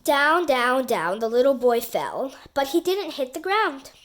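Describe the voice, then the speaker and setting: High-pitched woman reading a children's story. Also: very animated, measured pace. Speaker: storybook reader. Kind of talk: expressive children's audiobook narration.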